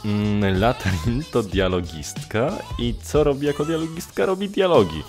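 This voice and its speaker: A man's voice: mid-range voice, expressive, normal speed, darkish tone. A young man chatting casually in a vlog, hesitant and often correcting himself, speaking close to a desktop microphone. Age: young adult. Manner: hesitant, self-correcting, informal with occasional swearing. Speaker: YouTuber and amateur dubbing voice actor answering viewer questions. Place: small room at home, indoors. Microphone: desktop condenser mic with pop filter, close. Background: music.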